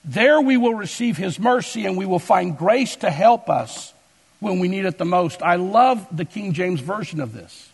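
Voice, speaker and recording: A man preaching in a fairly high, gravelly voice with a husky edge. He leans on key words and stretches them out slowly for emphasis. His voice rings out with a long reverb, as if in a large church sanctuary. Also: lively intonation. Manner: deliberate preaching with emphatic slow stresses. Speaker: pastor. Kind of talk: sermon.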